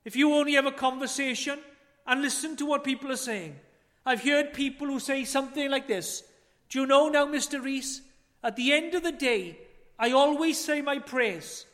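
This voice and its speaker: A man preaching a chapel sermon, earnest, with rhetorical questions and swells and drops in loudness. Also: very high-pitched, normal speed. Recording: long hall reverb.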